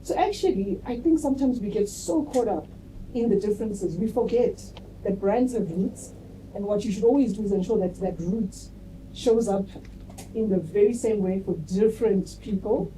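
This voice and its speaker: A woman speaking on a debate panel as a marketing executive, lively and laughing easily between points, often tagging her sentences with "right?". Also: medium pitch, normal speed. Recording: distant mic with faint room noise.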